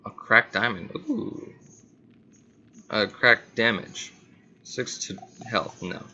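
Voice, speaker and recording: A man with a bright, slightly low voice gives casual gameplay commentary. He reacts offhandedly as he plays, over faint noise and game ambience on a home recording. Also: big pitch swings, slow, dull audio. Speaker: gaming video host.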